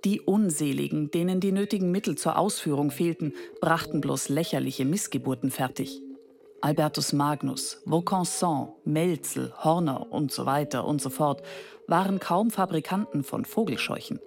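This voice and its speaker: A woman's voice: low-pitched, normal speed, vocal fry, a little mellow. A woman playing a wry, aloof female machine who muses on humanoids in a radio drama. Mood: scornful. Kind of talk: scripted radio drama monologue.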